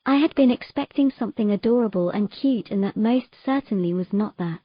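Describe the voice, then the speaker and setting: A woman playing a sorrowful young mermaid in an audio drama, telling of her past in a gentle, measured, halting way. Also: mid-range voice, dry recording, muffled recording, natural voice. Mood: wistful.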